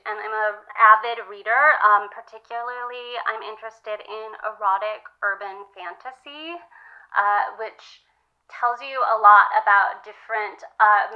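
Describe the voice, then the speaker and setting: A woman playing an earnest, boy-crazy sixteen-year-old high school girl in a comedy call-in, chatting casually with plenty of filler. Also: close to an everyday voice. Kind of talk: improvised comedy call-in interview. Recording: dull, lo-fi sound.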